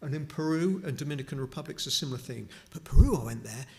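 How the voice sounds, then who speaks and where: A man's voice: medium pitch, animated, quick pace, mellow. A middle-aged man from Newcastle, giving an informal charity talk to a church congregation with many restarts and asides. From Newcastle upon Tyne, England. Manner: conversational, with frequent restarts and asides. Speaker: charity speaker at a chapel.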